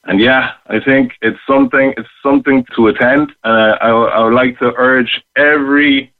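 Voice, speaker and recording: A man, speaking as an interview guest in a halting but enthusiastic way over a thin phone line. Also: mid-range voice, steady tone. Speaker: guest, rapper. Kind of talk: radio interview.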